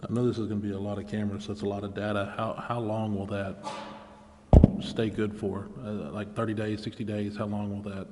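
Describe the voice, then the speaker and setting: A man's voice: on the low side, little pitch movement, fairly quick. A man at a council meeting asking a question and rephrasing it. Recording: reverberant chamber with clear noise.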